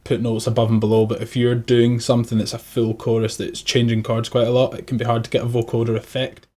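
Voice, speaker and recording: A man with a very soft-edged, mellow voice explains technical points about music production. His pitch is middling and stays very even, in an informal tutorial style. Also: fast.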